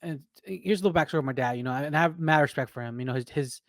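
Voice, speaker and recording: A young adult man from Peru, co-hosting a podcast and telling his family story in a relaxed, casual way with fillers. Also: a little high, racing, dry recording, studio quality. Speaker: podcast co-host.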